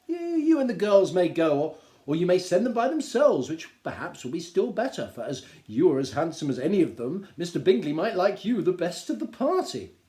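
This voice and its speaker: A man voicing a wry, teasing middle-aged husband, measured and mock-polite, reading from a classic novel. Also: high-pitched, sing-song, warm tone, pitched above the performer's own voice. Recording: roomy, echoing indoor sound.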